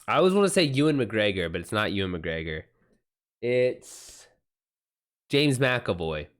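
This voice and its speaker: A man's voice: fairly low pitch, sing-song, measured pace. An American man hosting a livestream, talking casually with viewers in an informal, slangy way and swearing freely. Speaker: livestream host.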